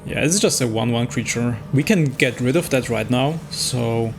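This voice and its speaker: A man's voice: mid-range voice, moderate pace, vocal fry. A man giving casual gameplay commentary on a card game, thinking aloud as he weighs his moves a little hesitantly. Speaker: host playing and commenting on a digital card game. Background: music.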